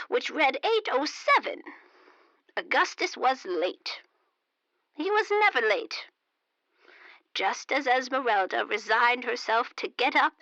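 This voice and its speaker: Racy elderly lady novelist, very animated pitch, sharp bright tone, coy, unhurried, dry slightly dull recording. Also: vocal fry, no room sound, heavily acted. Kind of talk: audio drama, comedic character acting.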